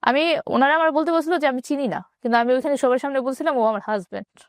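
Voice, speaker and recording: A woman with a Bangladeshi accent telling her personal story in an interview, haltingly and with repeated phrases. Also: sits high, dry recording, clean studio sound.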